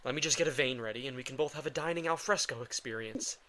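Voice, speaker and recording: A man's voice: medium pitch, fast talker, sharp tone, close to an everyday voice. A man playing a guilt-ridden, protective male vampire in an intimate audio drama, with dry sarcasm and a weary, casual delivery. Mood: exasperated, sardonic.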